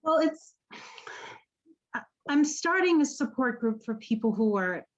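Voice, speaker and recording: A woman acting in a radio drama as an earnest, remorseful prison visitor with a gentle, hesitant way of talking. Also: fairly high pitch, dull audio, lightly acted.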